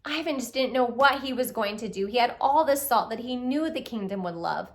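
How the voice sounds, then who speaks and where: A woman telling a children's puppet story in an expressive way, speaking directly to young listeners with questions and exclamations. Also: fairly high pitch, a little fast. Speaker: storyteller, puppet show reader.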